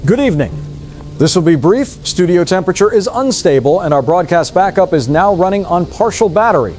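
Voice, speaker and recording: A man's voice: high voice, lively intonation, a little fast, a little mellow. A man playing a grave, dutiful news anchor in an apocalypse drama, speaking in clipped, formal emergency-broadcast style. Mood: grave, controlled.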